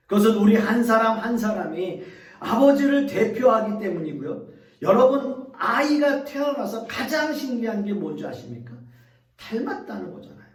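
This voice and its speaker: A man's voice: sits high, roomy sound, recorded from a distance. A middle-aged male pastor preaching a sermon earnestly, speaking directly to the congregation and repeating key phrases.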